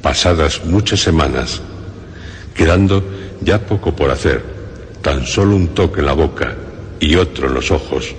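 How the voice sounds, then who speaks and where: Man, Spain accent, extremely low voice, unhurried dramatic delivery, horror radio host, deliberate pauses. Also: lively intonation, husky. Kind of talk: scripted horror radio narration. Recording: music bed under the voice. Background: music.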